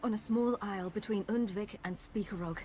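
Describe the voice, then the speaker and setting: A woman's voice: mid-range voice, brisk, darkish tone, a little hiss or hum, natural voice. An actress playing an earnest, uneasy young warrior woman in a video game cutscene.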